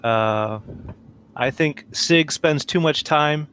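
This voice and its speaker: A man's voice: on the high side, takes it slowly, fairly bright tone, a little hiss or hum. A man playing a tabletop game, describing his character casually in an offhand, dryly humorous way. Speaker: player.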